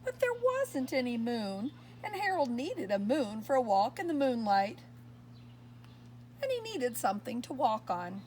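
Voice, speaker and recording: High, lively woman reading a storybook. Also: a little slow, a little hiss or hum. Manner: expressive, asks listeners questions. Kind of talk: reading a picture book aloud to young children.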